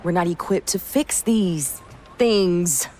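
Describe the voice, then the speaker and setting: A woman playing a stubborn, no-nonsense car mechanic in a game cutscene, brisk and opinionated. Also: fairly low pitch, mellow, slight background noise. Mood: exasperated. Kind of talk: video game cutscene acting.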